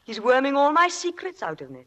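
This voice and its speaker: A woman's voice: sits high, wildly swooping pitch, brisk, fairly bright tone, exaggerated voice. An actress playing a worldly, self-assured lady crime novelist in a vintage radio drama, arch and teasing. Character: worldly, self-assured woman mystery writer.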